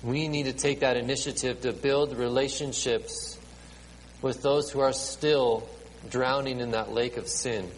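A man in his late thirties delivers a sermon at an unhurried, deliberate pace, often stumbling over and repeating his words. His mid-pitched voice rings out in a hall with a long reverb tail, and a faint ambient hiss sits underneath. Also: fairly even intonation. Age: 30s. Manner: halting, repeats words and fills with ums. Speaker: pastor. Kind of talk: sermon to a congregation with children.

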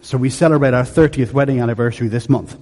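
A man in his fifties from Dublin, with a softened Dublin Irish accent, preaching a sermon in a joking, conversational, storytelling way. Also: mid-range voice, quick pace. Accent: Dublin Irish, softened by years in England. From Ireland. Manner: conversational, jokey, animated storytelling. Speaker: pastor. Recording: echoey hall.

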